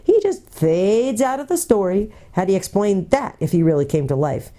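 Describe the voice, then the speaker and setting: A woman's voice: mid-range voice, animated, normal speed, warm tone, pitched above the performer's own voice, natural voice. A woman voicing a bitter, defiant male dwarf in a storybook, argumentative and sour, with a snapping, sneering manner.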